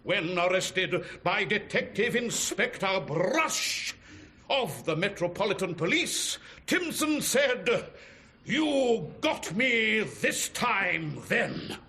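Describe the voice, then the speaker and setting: An actor playing an earnest, pompous prosecuting barrister in a radio drama, with deliberate, theatrical phrasing. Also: male voice, sits high, big pitch swings, bright, forceful tone, heavily acted. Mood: portentous.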